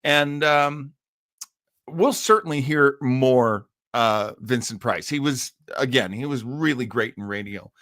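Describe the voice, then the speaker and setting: Guy hosting old radio show stream, rambly casual chat, dry room, medium pitch. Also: a little slow, fairly bright tone, no room sound. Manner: rambling, chatty asides. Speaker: host of an old-time radio listening show.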